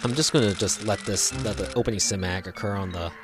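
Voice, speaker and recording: Man, casual gameplay commentary, lowish pitch, halting and repetitive, game music behind. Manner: halting, repeats and trails off mid-phrase. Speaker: let's play video game commentator.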